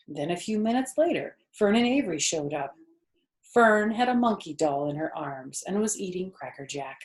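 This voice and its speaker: Lower-pitched older woman reading a story. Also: a little mellow, rough recording. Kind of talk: children's book read-aloud.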